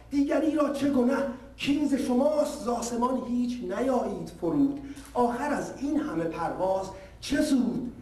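A man reciting a narrative poem in a measured, declamatory way, verse by verse. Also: high-pitched, a little mellow. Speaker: poetry reciter. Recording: distant, echoey hall, faint noise.

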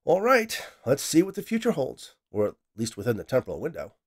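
A man voicing an eager male scientist, speaking in a raised, excited, emphatic way. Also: mid-range voice, a little fast, pitched above the performer's own voice.

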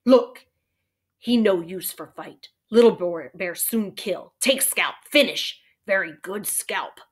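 A woman's voice: medium pitch, animated, takes it slowly, breathy edge. A woman voicing a tiny, stubborn, sly male Indian warrior in a children's storybook, speaking terse broken English in clipped phrases. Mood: scornful, fierce.

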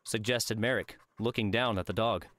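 A man's voice: lowish voice, fairly even intonation, moderate pace, fairly bright tone. A man reading a work of fiction aloud as scripted audiobook narration.

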